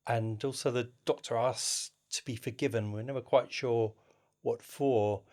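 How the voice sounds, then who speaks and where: A man working as an interviewer, putting measured questions in a literary discussion. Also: medium pitch, steady tone, darkish tone.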